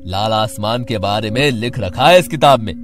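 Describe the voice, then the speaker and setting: An actor playing an old villainous sorcerer in a cartoon, gloating and obsessive. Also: male voice, on the low side, moderate pace, music in the background, close to an everyday voice.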